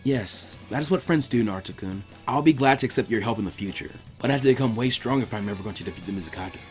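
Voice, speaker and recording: A man puts on a gentle young female ninja for a story reading. She is polite and hushed, with formal phrasing. Her tone is devoted and a little unsure of herself. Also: lowish voice, animated, fast, faint music behind, voice pushed down, close to an everyday voice. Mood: soft, grateful.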